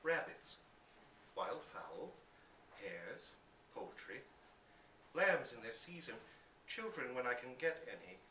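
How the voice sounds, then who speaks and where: A man voicing a sly, feral teenage boy from a storybook, patronizing and unsettling, speaking with slow, teasing relish. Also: mid-range voice, big pitch swings, pushed and bright, full character voice. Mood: relishing, menacing. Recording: roomy, distant live recording with hiss.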